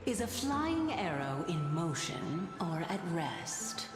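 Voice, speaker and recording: A woman's voice: on the low side, sing-song, slow pace. A woman playing a mysterious, teasing sage in a video game, unflappable and coy, with languid theatrical delivery. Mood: poised, amused. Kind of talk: video game character acting. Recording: echoey long reverb under clear music.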